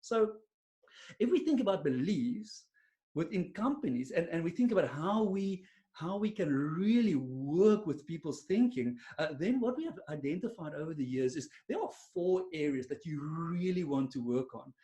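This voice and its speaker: A man's voice: a little high, big pitch swings, moderate pace, warm tone. A man with an Afrikaans South African accent presenting a webinar on a video call, chatty and self-deprecating, with many fillers and restarts. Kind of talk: online webinar talk to a silent audience.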